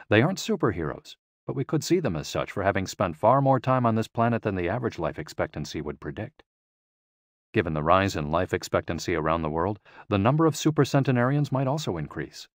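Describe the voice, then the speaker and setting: A man narrates an audiobook from a script with measured, careful diction. His voice sits on the low side. The recording is dry, with very little room around it. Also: no echo.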